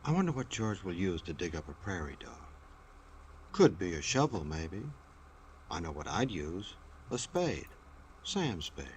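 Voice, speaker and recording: An actor as a suave, wry announcer and narrator of a 1940s radio show, speaking in a droll manner. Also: male voice, sits low, very animated, unhurried, long pauses, noisy background. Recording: old radio with loud organ music.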